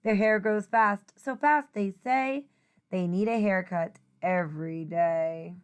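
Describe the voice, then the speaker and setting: A woman teacher reading a rhyming children's picture book aloud in an expressive, sing-song way. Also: mid-range voice, takes it slowly, clear, resonant tone.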